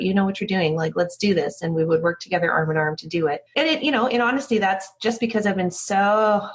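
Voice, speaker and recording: A woman chatting casually as an interview guest, telling stories candidly and acting out bits of remembered dialogue. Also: lowish voice. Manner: candid, animated retelling with quoted dialogue. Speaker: interview guest, company founder. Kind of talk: casual conversational storytelling.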